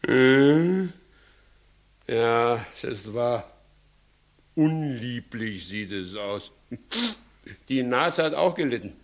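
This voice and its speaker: An actor playing a shifty, blustering village judge in a theatrical 1960s German radio verse comedy. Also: male voice, mid-range voice, expressive, drawn-out words, long pauses, a little hiss or hum. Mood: feigned innocence.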